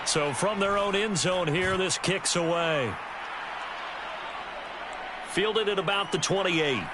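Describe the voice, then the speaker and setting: A man calling football play-by-play in crisp, energetic broadcast style for a video game. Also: high voice, slow pace, over a noisy crowd, heavy background noise. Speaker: play-by-play commentator.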